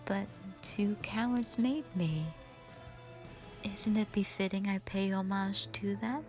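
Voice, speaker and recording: A woman's voice: mid-range voice, over music, close to an everyday voice. Woman voicing a menacing, mocking female spider monster. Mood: sly taunting. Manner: menacing, mocking, drawn-out delivery. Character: sadistic, taunting female spider monster created by witches. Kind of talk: dramatic audio roleplay.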